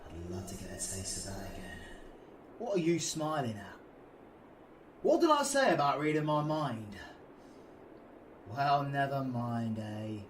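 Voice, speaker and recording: A man playing a cocky, menacing, flirtatious British supervillain in an intimate roleplay audio drama, breathy and taunting. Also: medium pitch, big pitch swings, unhurried, pauses often, a little hiss or hum. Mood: teasing, threatening. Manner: taunting, breathy, swings between whispering and shouting.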